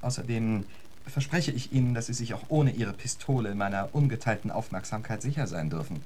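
Actor as urbane, lilting gentleman poet. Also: lowish voice, lively intonation, brisk, a little hiss or hum, close to an everyday voice. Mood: courteous, breezy. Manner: elaborately polite, wry, eloquent. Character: witty, urbane gentleman poet, flippant and unflappable. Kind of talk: radio drama acting.

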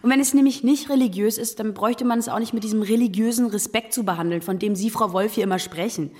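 A woman's voice: mid-range voice, fast talker, a little mellow, natural voice. A woman playing a combative, outspoken teenage schoolgirl, blunt and challenging as she argues in classroom drama dialogue. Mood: argumentative.

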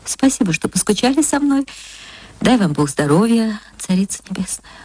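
A woman's voice: medium pitch, very animated, moderate pace, darkish tone, husky. A woman voicing a kindly, sedate, devout provincial wife in a radio story, with an earnest sing-song manner. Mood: grateful, pious.

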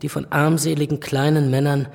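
Sardonic royalist pamphleteer, gravelly, big reverb, speechifying in a radio drama. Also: male voice, a little high, flat delivery, a little fast, darkish tone. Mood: sardonic, persuasive. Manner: rhetorical, sardonic. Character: Louis Suleau, flamboyant royalist pamphleteer writing a letter. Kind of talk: radio drama letter monologue. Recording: long reverb over the voice.

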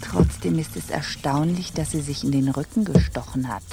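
A woman's voice: low voice, normal speed, gravelly, background noise. An actress playing a stern, probing woman on a pirate ship in a radio play, with an accusing, dryly ironic manner. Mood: dry, skeptical.

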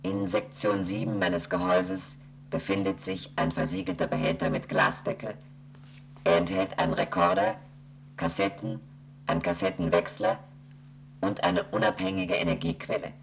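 A space station computer speaks in a very deep, gravelly tone. Its announcements are measured and mechanical, without warmth. This is a radio play. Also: female voice, steady tone, a little hiss or hum. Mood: flat. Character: flat, monotone female space station computer.

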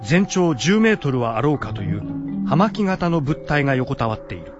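A man's voice: mid-range voice, sing-song, normal speed, dull audio, over music. A man narrating a scripted radio drama with solemn, dramatic storytelling.

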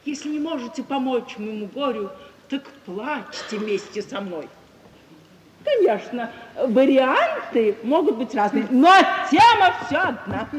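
A woman's voice: sits high, big pitch swings, measured pace. An actress playing a sly, teasing provincial society woman, arch and knowingly ironic, in a 1950s Russian radio stage comedy. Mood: mock-tragic. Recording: echoey hall, 1950s radio recording.

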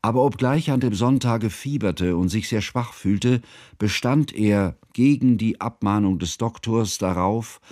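An actor playing an earnest, scholarly narrator in a literary radio drama, speaking in a formal, measured, solemn way. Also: male voice, fairly low pitch, darkish tone, no room sound, studio quality, close to an everyday voice. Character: earnest, scholarly male narrator, devoted old friend.

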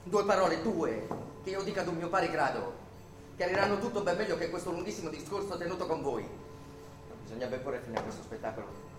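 A man's voice: on the high side, expressive, brisk, natural voice. An actor playing an articulate, defiant man under arrest who insistently questions his captors in a theatrical radio drama. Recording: roomy echo with music behind.

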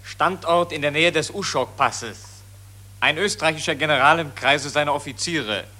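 A man's voice: fairly high pitch, normal speed, pushed and bright, a little hiss or hum. A man working as a radio play narrator, reading out scripted scene announcements.

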